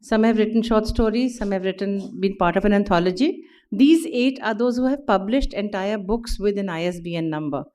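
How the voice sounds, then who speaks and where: A woman with an Indian English accent, addressing the festival audience as organizer and warmly encouraging young authors. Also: mid-range voice, a little fast. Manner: warm, encouraging announcer.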